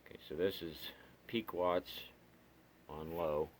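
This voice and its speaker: A man with a very low voice walks through a casual hands-on demonstration. He is plainspoken and sounds like a hobbyist partly talking to himself as he works. A light layer of background noise sits under the homemade recording. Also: takes it slowly. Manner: plainspoken, muttering to himself while working. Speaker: hobbyist radio reviewer. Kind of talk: casual unscripted product demonstration. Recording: steady background noise, amateur home video.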